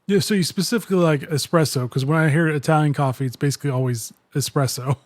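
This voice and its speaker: A man's voice: fairly high pitch, fast, no room sound, studio quality. A man hosting a podcast, introducing a guest and asking friendly, conversational questions. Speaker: podcast host.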